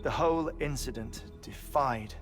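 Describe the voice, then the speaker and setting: An actor playing a melancholy, courteous middle-aged scientist who speaks in a measured, reflective, erudite way in a video game. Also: male voice, medium pitch, over music, natural voice. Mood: grave, reflective. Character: melancholy, courteous male scientist mourning his lost family. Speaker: research scientist character. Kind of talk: cinematic video game monologue.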